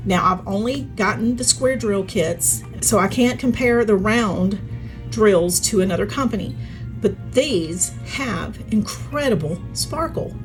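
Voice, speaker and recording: A woman hosting a craft review vlog, chatty and enthusiastic, talking straight to her viewers while she is hoarse and losing her voice. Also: medium pitch, animated, measured pace, music in the background. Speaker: craft channel host.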